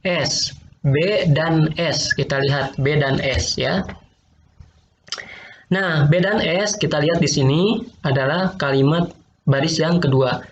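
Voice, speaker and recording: A male teacher, patiently explaining logic in an online class, repeating points and quizzing students. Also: fairly high pitch. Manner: patient, repeats points, asks quiz questions. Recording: roomy, dull lo-fi online class audio with faint noise.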